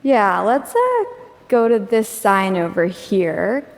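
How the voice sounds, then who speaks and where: Slow-talking upbeat woman on stage. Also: medium pitch, huge pitch swings. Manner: upbeat, chatty, laughs often, some fillers. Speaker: demo presenter. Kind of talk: live on-stage demo. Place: stage of a large auditorium. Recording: echoey hall reverb.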